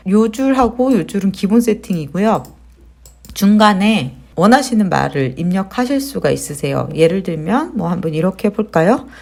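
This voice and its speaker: A woman hosting a casual step-by-step software tutorial, explaining conversationally and putting questions to her viewers. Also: medium pitch, very animated, moderate pace, creaky. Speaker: tutorial host, digital marketer.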